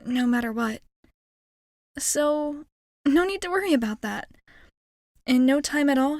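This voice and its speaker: A woman's voice: high voice, no room sound, studio quality. A woman playing a shy, kind young alien girl in an intimate ASMR roleplay, speaking in a hesitant, earnest, rambling way.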